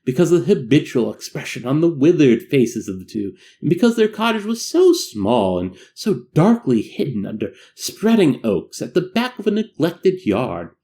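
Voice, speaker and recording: Male story narrator, somewhat higher-pitched, highly expressive melody, measured and solemn dramatic delivery for classic fiction. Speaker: amateur audiobook narrator.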